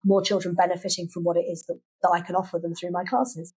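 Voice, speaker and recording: Chatty British woman, halting and emphatic. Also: on the low side, little pitch movement, mellow, breathy edge. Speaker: podcast guest, children's language class teacher. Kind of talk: conversational interview answers.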